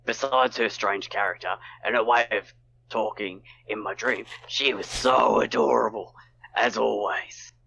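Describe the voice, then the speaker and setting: A man with a gravelly, husky voice reading visual novel dialogue aloud in a casual, joking way on a stream. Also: fairly low pitch, unhurried, bright, forceful tone. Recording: dull, muffled lo-fi audio.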